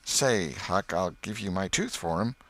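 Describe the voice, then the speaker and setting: A man's voice: low voice, lively intonation, a little slow, a bit bright, heavily acted. A man voicing an eager, mischievous young boy in a storybook, with bold folksy rural speech.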